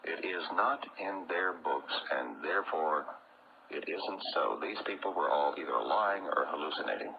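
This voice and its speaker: An American man hosting a radio interview, speaking in a deliberate, probing and opinionated conversational way. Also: extremely low pitch, lively intonation, gravelly, crowd noise. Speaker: radio talk show host. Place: radio studio. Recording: muffled noisy 1980s radio broadcast tape.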